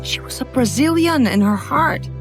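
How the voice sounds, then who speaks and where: A woman reading a scripted biography for children as a narrator, with expressive, dramatic storytelling. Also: high-pitched, moderate pace, over music.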